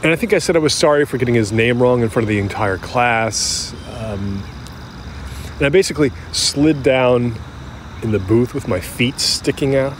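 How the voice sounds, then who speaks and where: An actor playing a middle-aged American man who recalls his youth candidly, in a halting, conversational, self-deprecating way, as if interviewed for an audio drama. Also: mid-range voice, slight background noise, lightly acted. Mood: reflective, candid. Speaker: interview subject. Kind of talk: naturalistic audio drama acting as interview reminiscence.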